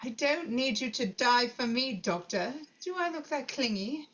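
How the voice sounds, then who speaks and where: Woman acting a terrified young woman, high-pitched, tense bright voice, tearful, determined, table-read drama, slightly dull recording. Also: animated, normal speed. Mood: fearful, determined. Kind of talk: amateur table read of a TV script.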